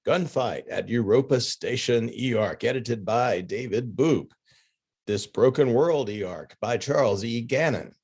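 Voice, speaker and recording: A man's voice: medium pitch, a little slow, a little mellow, husky. A man hosting a podcast, reading scripted announcements with casual, tongue-in-cheek asides. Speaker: podcast host and book editor.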